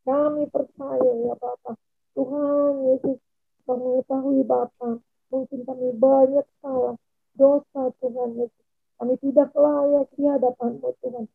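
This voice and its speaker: A woman leading a spoken intercessory prayer, fervent and repetitive, with phrase endings that trail off softly. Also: high-pitched, normal speed, creaky, dry recording. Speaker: prayer leader. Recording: tinny phone-like line.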